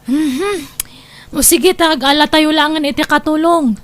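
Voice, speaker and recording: A woman acts a young businesswoman in a family comedy drama. Her voice is notably high. She comes across as thrifty and self-assured. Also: unhurried, no echo. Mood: practical. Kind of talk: Ilocano comedy drama acting.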